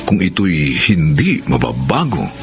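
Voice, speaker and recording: A man's voice: a bass voice, huge pitch swings, a little slow, gravelly. A man with a Filipino accent hosting and narrating a radio drama in a solemn, theatrical scripted style. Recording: loud music bed under narration.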